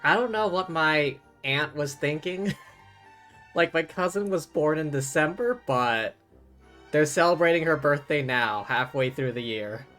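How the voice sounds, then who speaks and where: Slow, easygoing game streamer over music. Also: medium pitch, a bit bright. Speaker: streamer, host. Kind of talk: casual livestream chat while playing a game. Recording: music playing behind the voice.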